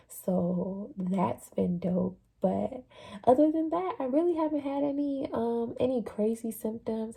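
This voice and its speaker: A young adult woman talking casually about her experience in a personal vlog, relaxed and chatty with plenty of fillers. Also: medium pitch, gravelly, husky. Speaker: vlogger sharing a fasting journey.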